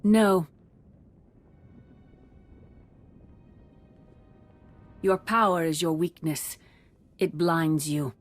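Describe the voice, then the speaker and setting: Low-voiced, resolute Jedi master. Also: female voice, faint music behind. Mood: firm. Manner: measured, assured. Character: resolute, wise female Jedi master, defiant and composed. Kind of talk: dramatic video game acting.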